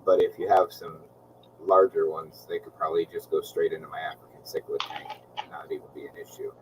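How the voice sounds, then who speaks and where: A man hosting a casual aquarium livestream, talking in a calm, relaxing, laid-back way with frequent ums. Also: a bass voice, fairly quick, far from the mic, background noise. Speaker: aquarium channel host.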